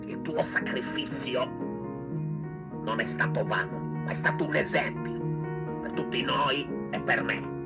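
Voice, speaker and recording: Pompous, exasperated small-town doctor. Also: male voice, medium pitch, gravelly. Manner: theatrical, grumbling, oratorical. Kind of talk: Italian radio play acting.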